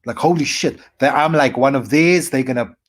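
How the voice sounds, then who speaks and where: A South African man in his forties, a comedian telling his story in a casual interview and acting out his past self in quick asides. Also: medium pitch. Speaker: comedian guest.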